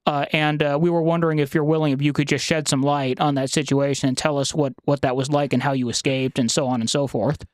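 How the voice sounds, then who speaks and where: A man hosting a podcast, speaking in a formal, wordy and halting way with repeated words. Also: fairly high pitch, flat delivery, dry recording. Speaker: podcast host. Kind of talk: formal podcast introduction and interviewing.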